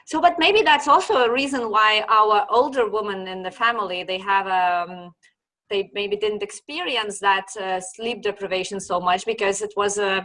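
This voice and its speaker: Bright-voiced Lithuanian-accented woman host. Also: mid-range voice, rough recording. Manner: chatty, searching for words with fillers and repeats. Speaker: host and children's sleep consultant. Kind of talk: casual conversational interview.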